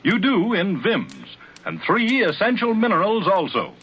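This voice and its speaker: A man's voice: high voice, huge pitch swings, measured pace, slightly rough, muffled recording. An American man reading a 1940s radio commercial on an old recording. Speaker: advertisement announcer.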